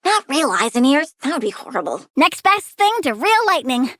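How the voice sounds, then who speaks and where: Moody child plant villainess for a game: sky-high, animated, dry close sound, sweet turning sinister. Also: female voice, normal speed, dry recording. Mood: matter-of-fact, childlike. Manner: childlike, petulant, excitable, swinging from sweet to sinister. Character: plant-loving young girl villain, childish, moody, gleefully menacing. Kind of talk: video game character voice lines.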